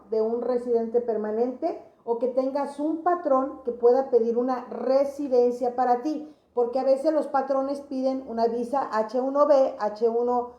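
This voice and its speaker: A woman speaks to viewers as an informal, didactic host. Her voice is fairly high yet soft-edged and dark, and she restates key phrases to drive them home. The recording is clearly roomy and echoey. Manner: didactic, repeats phrases for emphasis. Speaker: host of an immigration advice channel. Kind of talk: informal explainer talk to viewers. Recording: echoey room, dull lo-fi sound.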